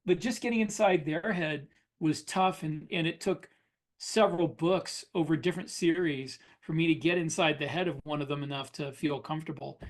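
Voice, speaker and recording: A man with a fairly high voice chats casually as a podcast co-host. He talks about the craft of writing in a plain, conversational style. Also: normal speed. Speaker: podcast host and science fiction author.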